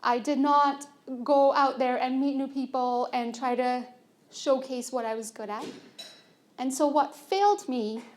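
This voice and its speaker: A young adult woman, soft-spoken and earnest, telling a personal story on stage at a conference, with fillers and restarts. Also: fairly high pitch. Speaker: conference speaker.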